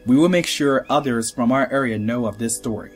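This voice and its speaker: An actor playing a composed, tactful away-team officer, speaking in a measured, diplomatic way in a sci-fi audio drama. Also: male voice, mid-range voice, faint music behind, natural voice. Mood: tactful, brisk.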